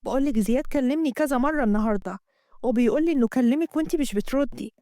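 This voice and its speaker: A woman's voice: sits high, racing, mellow, no room sound, clean studio sound. A woman voicing a chatty, nosy young female roommate with an Egyptian accent. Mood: nagging. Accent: Egyptian Arabic.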